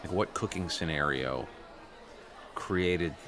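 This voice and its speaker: A man playing a self-doubting teenage transfer student in an improvised comedy, speaking casually in a hesitant, halting, understated way. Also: deep voice, a crowd in the background. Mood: puzzled. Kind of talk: improvised comedy dialogue, naturalistic casual chat. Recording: noisy room ambience.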